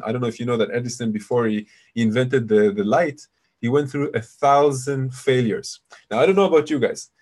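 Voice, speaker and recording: A man with a deep voice leads a live online session, talking loosely and explaining ideas to the listeners he calls "you guys". His pitch sits in an ordinary middle range. He is heard through a computer mic on a video call. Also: a little mellow. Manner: chatty, explanatory, addresses audience as "you guys". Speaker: meditation teacher hosting a webinar. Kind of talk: casual live webinar teaching.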